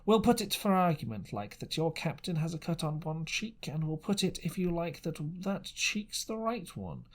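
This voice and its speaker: A man voicing a menacing, fawning and sneering seafaring stranger in an adventure storybook, with drawling, wheedling sailor talk and sly pauses. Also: a little high, big pitch swings, voice pushed up. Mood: sly, leering. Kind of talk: audiobook character voice.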